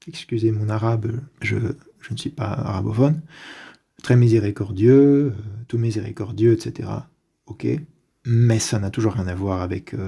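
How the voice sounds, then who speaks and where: A man giving an unscripted lecture on a church document, deliberate and with frequent hesitations and restarts. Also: mid-range voice, creaky, dark tone, breathy edge. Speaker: religious commentator.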